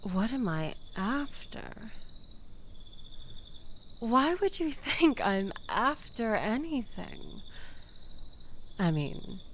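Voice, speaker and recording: Slow, coy, manipulative ex-girlfriend, acted by a woman. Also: fairly high pitch, big pitch swings, fairly bright tone, slight background noise, exaggerated voice. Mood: mock-wounded. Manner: coy, teasing, mock-wounded, drawn-out with breathy dips. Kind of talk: intimate audio roleplay acting addressed to listener.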